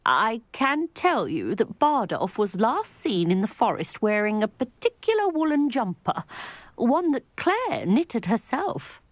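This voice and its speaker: Older British village widow, chatty and anxious, high expressive voice, fantasy game, dry studio sound. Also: female voice, measured pace, no room sound. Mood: warm, grateful. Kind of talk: video game character dialogue.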